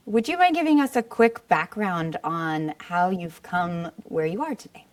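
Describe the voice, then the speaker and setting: A woman's voice: fairly low pitch, lively intonation, unhurried. A woman co-hosting a podcast, politely welcoming and introducing her guest. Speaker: podcast co-host. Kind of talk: podcast interview.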